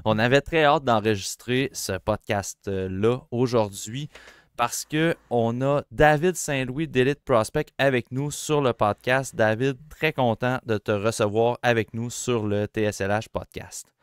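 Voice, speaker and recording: A Québécois man co-hosting a hockey podcast in a relaxed, talkative way. His voice is smooth and resonant, of middling pitch, and sits close and dry on the mic. He chats with frequent fillers and restarts. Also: unhurried, no echo. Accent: Québécois French. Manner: chatty, animated interviewer, frequent fillers. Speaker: podcast co-host. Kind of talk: casual hockey podcast chat.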